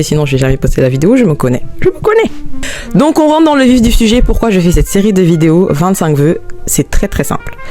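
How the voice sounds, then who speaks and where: Chatty young woman vlogging. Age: 20s. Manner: chatty, expressive, swoops up high when excited. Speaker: YouTuber. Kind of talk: casual vlog talk to camera.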